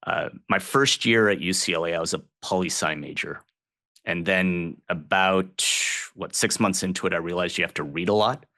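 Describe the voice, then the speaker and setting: A man with a very low voice speaks as a podcast guest. He talks in a relaxed, easygoing way, with fillers and restarts along the way. The sound is dry and close, with no room around it. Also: moderate pace, no room sound. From Southern Illinois, United States. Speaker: guest, water agency general manager. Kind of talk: casual podcast interview.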